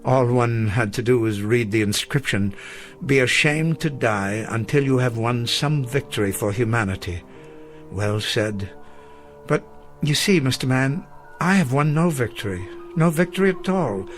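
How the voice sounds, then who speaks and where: An actor playing a weary, self-doubting elderly schoolteacher, speaking formally and eloquently in a radio drama. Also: male voice, mid-range voice, animated, a little slow, faint music behind, close to an everyday voice. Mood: bleak, ashamed.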